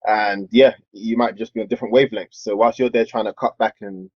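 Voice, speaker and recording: Husky young man, explaining. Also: on the low side, fairly even intonation, brisk, no echo. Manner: stammers on starts, explains at length. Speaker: podcast co-host. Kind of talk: casual chat. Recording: dull lo-fi dry sound.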